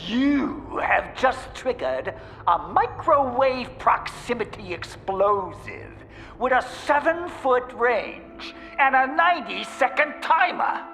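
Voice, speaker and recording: An actor playing a flamboyant, gloating mad bomber in a video game, with theatrical, boastful taunts. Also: male voice, very high voice, sing-song, slow, pushed and bright, long reverb tail, soft background music, full character voice. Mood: gleeful, gloating. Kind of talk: video game villain acting.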